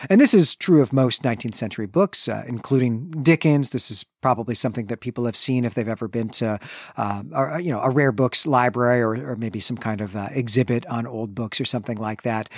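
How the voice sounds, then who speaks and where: A man's voice: medium pitch, no echo, clean studio sound. A man co-hosting a book discussion podcast, talking through a novel casually with fillers and restarts. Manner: relaxed, thinking aloud with fillers and restarts. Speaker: podcast co-host.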